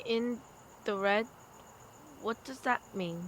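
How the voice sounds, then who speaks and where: A woman's voice: a little high, slow pace, fairly bright tone, slight background noise. A woman playing a timid, troubled young boy in an audio drama, hesitant and stammering. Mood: sullen, subdued.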